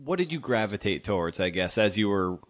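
A man hosting a casual interview podcast, asking halting, meandering questions in a conversational way. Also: on the low side, sing-song, no room sound, studio quality. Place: small untreated home office.

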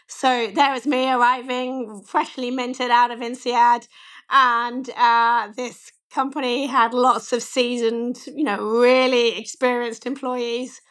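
Woman, slightly croaky, fairly high-pitched, candid, self-deprecating, forties, video call. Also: flat delivery, takes it slowly, a bit bright. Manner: candid, self-deprecating, many "you know" fillers. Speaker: bank chief executive, interview guest. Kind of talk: conversational interview answers.

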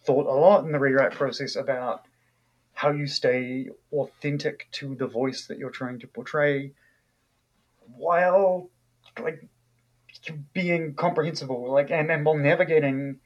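A man's voice: on the high side, steady tone, measured pace, highs cut off. A man from New Zealand with a New Zealand accent, an author talking casually in an interview, thoughtful and full of fillers and restarts.

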